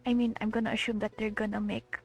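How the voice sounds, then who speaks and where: A woman's voice: medium pitch, level tone, quick pace. A woman chatting casually as she plays a game and reacts to the story. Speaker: game player streaming her playthrough. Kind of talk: casual gameplay commentary, reading some dialogue aloud.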